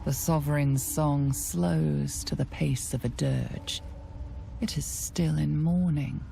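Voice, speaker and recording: A woman narrating a fantasy video game in a measured, hushed, dramatic storytelling style. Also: deep voice, fairly even intonation, creaky, soft background music, full character voice. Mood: grave.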